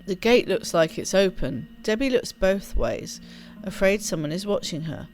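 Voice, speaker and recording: Female let's play host, mid-range voice, expressive narrative reading, casual asides, faint music underneath. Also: mid-range voice, moderate pace. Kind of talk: reading game narration aloud.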